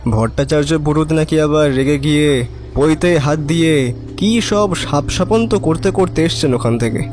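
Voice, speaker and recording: A man's voice: fairly high pitch, normal speed, a little mellow, soft background music, natural voice. A man playing a curious, gossipy friend at a tea stall in an audio drama, chatty and inquisitive. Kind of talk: Bengali audio drama acting.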